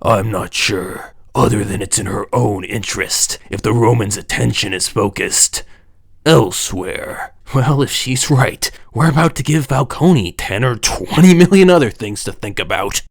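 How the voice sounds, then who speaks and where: Guy doing grim comic book lawman, low gravelly voice, serious and clipped. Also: lively intonation, measured pace, exaggerated voice. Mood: brooding. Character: several grim crime-fighting men: police captain, district attorney, vigilante. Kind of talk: comic book dub acting.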